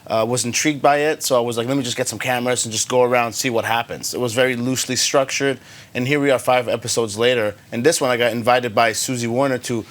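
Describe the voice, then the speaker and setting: A man who grew up in Germany, a filmmaker guest answering interview questions in a relaxed, hesitant way with many fillers. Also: medium pitch, fast talker.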